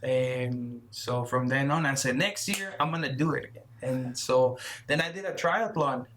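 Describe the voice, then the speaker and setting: A man in his thirties chats casually as an interview guest. He talks in halting bursts, repeats his words, and laughs a little shyly. His voice sits at a middling pitch. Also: crowd noise. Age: 30s. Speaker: guest runner.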